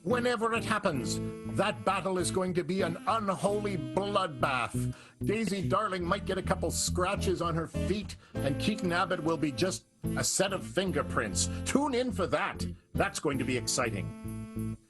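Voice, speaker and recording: A man puts on a melodramatic radio soap narrator, high-pitched for a man and very animated. Music plays clearly behind his excitable hype. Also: unhurried. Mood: excited, dramatic. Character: excitable melodramatic male serial announcer. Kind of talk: 1920s radio serial narration, improvised.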